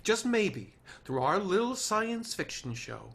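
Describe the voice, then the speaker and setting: A man's voice: high voice, big pitch swings, takes it slowly, creaky, fairly bright tone, heavily acted. A man playing an idealistic, charming, swaggering TV writer in a screenplay table read. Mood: passionate, idealistic.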